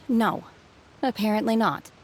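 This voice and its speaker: A woman voicing an aloof, stoic young warrior in a game, speaking in a calm, deadpan, deliberate way with blunt menace. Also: medium pitch, a little hiss or hum, close to an everyday voice. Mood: detached, matter-of-fact. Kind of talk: animated game voice acting.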